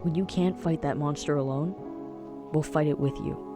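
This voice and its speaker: A man's voice: a little high, fairly even intonation, moderate pace, music in the background, close to an everyday voice. A man playing an earnest, supportive young friend in an audio drama, speaking with emphatic, reassuring phrasing. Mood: gentle reassurance.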